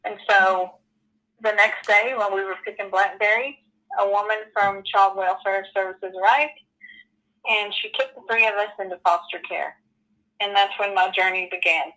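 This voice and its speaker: A woman in her forties telling her personal story as an interview guest, earnest and halting, with many ums and you-knows. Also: mid-range voice, fairly even intonation, sharp tone, rough recording. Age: 40s.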